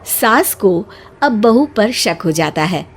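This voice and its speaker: A woman narrator reading a scripted story in an expressive storytelling style. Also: medium pitch, slow pace, husky.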